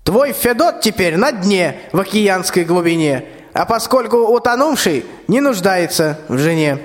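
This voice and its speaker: A man's voice: high-pitched, big pitch swings, normal speed. A young man playing a grumpy, sly tsar and exasperated father in a rhymed radio play, drawling querulously. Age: young adult. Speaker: school student actor. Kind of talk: theatrical verse radio play. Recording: long hall reverb.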